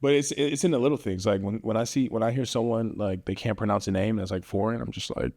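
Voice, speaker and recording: A man's voice: fairly low pitch, quick pace, a little mellow. A man from Northern Virginia hosting a casual podcast, talking loosely with lots of fillers. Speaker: podcast host, realtor.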